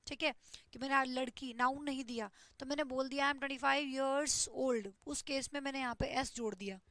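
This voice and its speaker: A woman's voice: sits high, bright, forceful tone, dry recording. A woman teaches an online English grammar class, explaining step by step and checking in with "ठीक है". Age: 20s.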